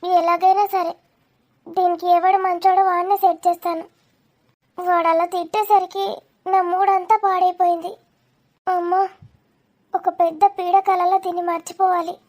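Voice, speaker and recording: A woman acts out village women of an animated family drama, from young friends to a worried mother. The voice is extremely high and small in colloquial dialogue. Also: normal speed, long pauses. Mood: brooding.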